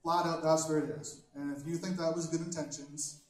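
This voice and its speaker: A young man doing a stand-up comedy set on a small stage with a handheld mic, telling dark jokes bluntly and chatting with the crowd between jokes. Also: a little high, level tone, brisk. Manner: dark, blunt humor with conversational asides. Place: large wood-panelled hall with a small stage. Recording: very echoey hall from a distant camera.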